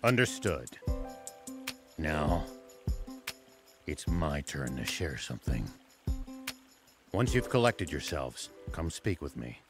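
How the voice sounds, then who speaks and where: An actor playing a stern, shrewd older man in a video game, speaking in a serious, deliberate, authoritative way. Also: very deep voice, big pitch swings, over music, exaggerated voice. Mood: solemn. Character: stern, shrewd old male lawman. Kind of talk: English video game voice acting.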